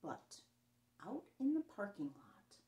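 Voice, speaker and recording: A middle-aged woman reads a picture book aloud to young children with theatrical emphasis and dramatic pauses. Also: medium pitch, big pitch swings, dark tone. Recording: roomy echo on the voice.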